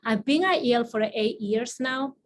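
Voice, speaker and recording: Woman, Spanish accent, panel moderator on a video call, quick with many fillers, faint background noise. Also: a little high. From Spain. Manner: quick, with frequent fillers and restarts. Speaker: assistant dean moderating a panel.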